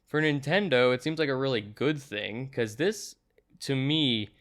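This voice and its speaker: Smooth, clear-voiced man hosting a casual review. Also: mid-range voice, a little slow, a bit bright. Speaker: host of a movie review.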